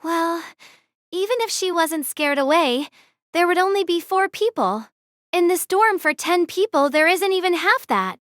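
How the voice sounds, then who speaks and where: A woman playing a lively young dorm student. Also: extremely high pitch, unhurried, no echo, clean studio sound, exaggerated voice. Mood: explaining. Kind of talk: audio drama acting.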